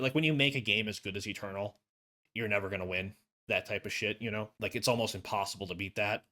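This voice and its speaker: A hoarse man with a lowish voice talks through his verdict on a video game in a loose, casual way. He rambles, fills gaps with "like" and restarts his sentences often, recorded close on a desk condenser mic. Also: sharp tone. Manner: rambling, with many fillers and restarts. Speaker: gaming YouTuber. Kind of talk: casual game review talk. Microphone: USB condenser desk mic.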